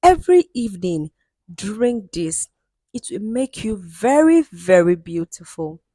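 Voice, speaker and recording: A woman voicing a weak, kindly old woman of the forest in a folktale, gentle and wise. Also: medium pitch, sing-song, a little slow. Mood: instructing.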